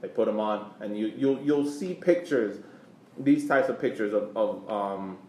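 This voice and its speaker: A man co-hosting a casual sports podcast, explaining things in a halting way, often repeating words as he goes. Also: fairly low pitch, warm tone. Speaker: podcast co-host. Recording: roomy echo, clear background noise.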